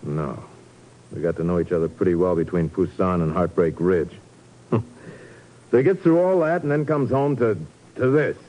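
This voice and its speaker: A man's voice: sits low, animated, normal speed, pauses often, dark tone, muffled recording. A man playing a protective, sorrowful war-veteran friend, firm and emphatic, in a 1950s radio drama. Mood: earnest, rueful.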